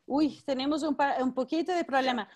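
A woman runs a live online class, her voice set high as she greets people by name and gives friendly, firm instructions. The sound comes through a video call. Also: steady tone, fairly quick, darkish tone. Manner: friendly, directive, calls participants by name. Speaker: teacher leading an online class.

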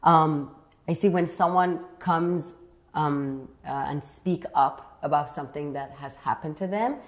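A young woman from Iran hosting an interview, animated and often restarting as she searches for words, recorded on a lapel mic in a living room. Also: low voice, measured pace. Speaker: interview host. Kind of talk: conversational interview. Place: bright living room indoors.

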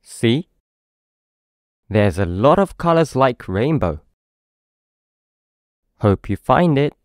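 A man's voice: medium pitch, sing-song, slow, no room sound, studio quality. A man with a British accent reading a children's story aloud, expressively, as if to a young child. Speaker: storybook narrator.

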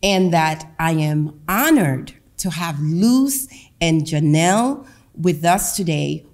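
A middle-aged woman speaks at a lectern microphone in a meeting room full of listeners. Her voice sits a little low, and she takes her time, leaning on key words with deliberate emphasis. Garifuna is her comes through. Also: a little mellow. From Honduras. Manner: slow, deliberate, emphatic delivery. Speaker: guest speaker. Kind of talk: public talk at a lectern. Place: meeting room with a seated audience.

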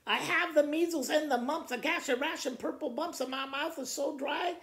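A man's voice: very high-pitched, normal speed, fairly bright tone, pitched above the performer's own voice. A man voicing a melodramatic little girl who is faking sickness, whiny and self-pitying as she lists complaints. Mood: whiny complaining. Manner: whiny, breathless listing of complaints. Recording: roomy indoor echo.

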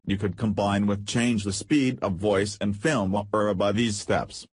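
Husky, low-voiced man narrating a tutorial. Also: fairly even intonation, unhurried, no echo. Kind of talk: scripted software tutorial narration.

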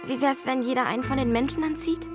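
Actress as high-voiced sensible schoolgirl. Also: fast, music in the background, lightly acted. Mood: uneasy. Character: sensible, easily exasperated schoolgirl, older sister.

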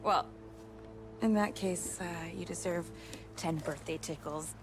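A woman's voice: lowish voice, measured pace, music in the background, lightly acted. A woman voicing a loving, playful young mother in a game, teasing her child. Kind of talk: game drama dialogue.

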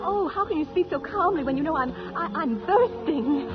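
An excitable young singing pupil in an old radio drama. Her voice is high, fluttery and halting with devotion. Music plays behind the dull vintage recording. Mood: rapturous. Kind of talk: 1940s radio drama acting.

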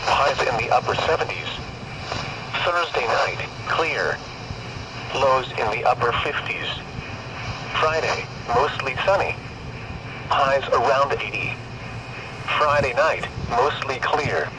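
Synthetic man's voice, weather radio announcer, medium pitch, measured formulaic reading, handheld radio speaker, clearly noisy car recording. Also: lively intonation. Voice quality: synthetic text-to-speech voice. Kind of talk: scripted automated weather broadcast.

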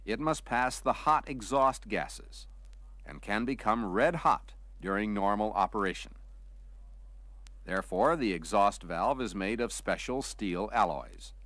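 A man reading a scripted vintage training film narration in a measured, clearly articulated, explanatory manner. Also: lowish voice, fairly bright tone, no room sound.

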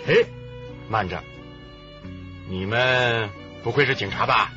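An actor playing a wily, boastful go-between peddling smuggled goods, sly and chatty, in a Chinese radio drama. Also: male voice, fairly low pitch, slow, sharp tone. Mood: sly, wary. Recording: dull radio drama audio with background music.

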